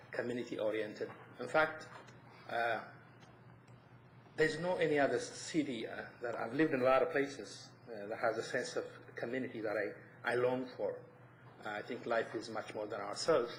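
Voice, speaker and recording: An Ethiopian-accented man gives a personal statement as a resident. He is earnest and halting, with a fairly even pitch. His voice rings in a roomy space with faint noise. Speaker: resident applying for committee. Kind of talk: personal statement at public meeting. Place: indoor council meeting room. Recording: echoey meeting room, faint noise.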